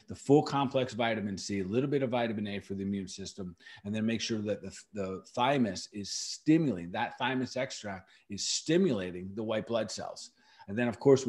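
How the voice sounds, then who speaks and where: A man's voice: mid-range voice, a little mellow. A middle-aged man hosting a podcast, explaining herbal remedies in a casual, emphatic way and repeating key words for stress. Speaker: natural medicine practitioner and podcast host.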